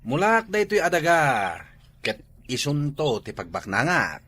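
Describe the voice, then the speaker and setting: A man's voice: fairly high pitch, sing-song, normal speed, fairly bright tone, husky, heavily acted. A man with an Ilocano accent playing a carefree, stubborn younger brother in a comedy drama, boastful and fond of drinking. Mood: cheerful boasting. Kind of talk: Ilocano comedy radio-style drama acting.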